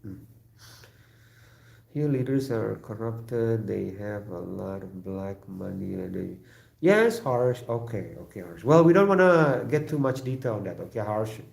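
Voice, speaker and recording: Gravelly Indonesian man, halting and echoey. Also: lowish voice, sing-song, a little mellow. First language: an Indonesian regional language. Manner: halting, many fillers and throat clearing, laughs often. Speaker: livestream host. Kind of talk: casual chat with live chat viewers.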